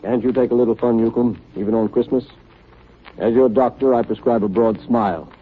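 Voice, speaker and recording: An actor playing a genial, gently chiding ship's doctor in 1930s radio drama style. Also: male voice, medium pitch, steady tone, fairly quick, natural voice. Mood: teasing, chiding. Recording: tinny 1930s radio recording.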